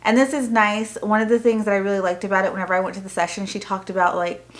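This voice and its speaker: A woman's voice: mid-range voice, little pitch movement, fast. A woman with an American accent talking casually to camera as a homeschooling mother, chatty and explanatory, rambling a little. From Texas, United States. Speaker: homeschooling mother and video host. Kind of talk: casual vlog product talk to camera.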